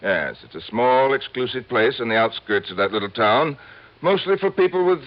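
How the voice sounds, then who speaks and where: Old, muffled radio sound frames a male actor as an egotistical insurance executive. His mid-pitched voice rises and falls emphatically. He is pompous, impatient and fussy, giving orders in classic radio-drama style. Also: big pitch swings, normal speed, close to an everyday voice. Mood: bossy, curt. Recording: muffled vintage radio recording.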